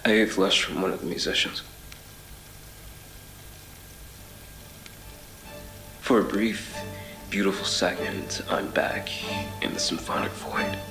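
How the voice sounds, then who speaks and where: An actor playing a haunted, obsessive traveler between twisted worlds in a horror audio drama, speaking in a measured, brooding, literary way with halts. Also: male voice, low-pitched, steady tone, creaky. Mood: grim, mournful. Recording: reverberant voice over music. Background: music.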